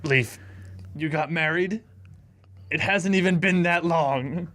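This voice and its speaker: A man with a moderately high voice plays a tabletop role-playing game. He chats and improvises casually, with a sarcastic, incredulous edge to his delivery. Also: slow, fairly bright tone.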